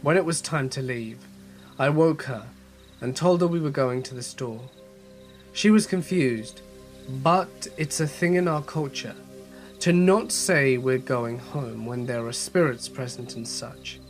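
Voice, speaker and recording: A man's voice: mid-range voice, measured pace, soft background music. A man with a slight head cold, hosting and narrating a horror story channel in a scripted storytelling style.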